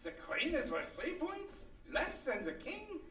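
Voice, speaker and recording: A woman's voice: high voice, huge pitch swings, measured pace, a bit bright, heavily acted. A woman playing a bossy, proud older immigrant woman with an Eastern European accent in a radio comedy, indignant and emphatic in broken English. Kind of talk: old-time radio comedy acting before an audience. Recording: roomy live stage, distant mic.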